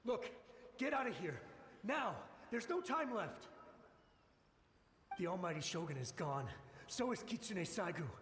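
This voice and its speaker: A man's voice: sits high, very animated, unhurried, long pauses, fairly bright tone, roomy sound, slight background noise, full character voice. A man playing the ghost of a panicked soldier in a video game cutscene, speaking urgently and pleading desperately. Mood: frantic.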